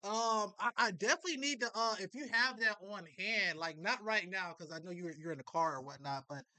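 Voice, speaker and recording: High-pitched, bright-voiced male interviewer. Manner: halting, repeats words as he frames questions. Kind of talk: casual chat, slangy.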